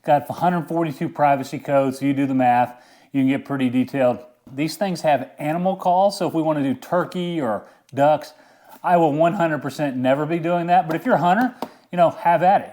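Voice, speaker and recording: A man's voice: fairly high pitch, fairly quick, darkish tone. A man talking casually through a product unboxing and review, informal and easygoing, thinking aloud as he goes.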